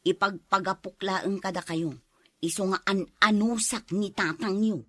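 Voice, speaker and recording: A woman's voice: medium pitch, steady tone, a little slow, no echo, studio quality, natural voice. A woman playing a long-suffering, exasperated wife and mother in a radio drama, with a complaining, weary manner. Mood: weary, resigned.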